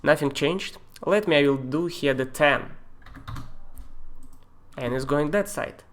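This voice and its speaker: A man with a Russian accent and Russian as his first language, teaching a live-streamed screen tutorial in a halting way, with fillers and non-native grammar. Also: fairly high pitch, a bit bright. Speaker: software tutor.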